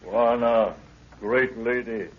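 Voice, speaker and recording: A man acting a fierce, loyal African manservant and warrior in a 1930s radio adventure, speaking terse, drawn-out pidgin English. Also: medium pitch, fairly even intonation, background noise, natural voice. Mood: grim, eager. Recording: muffled, dull 1930s radio recording.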